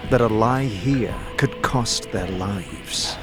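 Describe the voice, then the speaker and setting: A man's voice: on the low side, takes it slowly. Dramatic male fantasy narrator over music. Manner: vivid, suspenseful storytelling. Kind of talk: dramatic scripted second-person fantasy narration.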